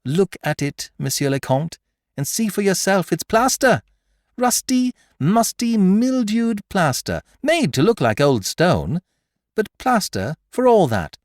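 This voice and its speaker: A man voicing an excitable young male amateur detective, brash and mocking as he shows off his discovery. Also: medium pitch, unhurried, dry recording, clean studio sound. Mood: exultant.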